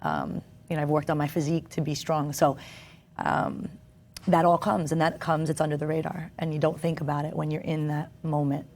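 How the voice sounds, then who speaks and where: Low-voiced lady actress chatting on a tv show, earnest, lots of ums. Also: a little fast, darkish tone. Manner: earnest, with fillers and restarts. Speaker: actress, guest. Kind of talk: casual interview talk. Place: television studio.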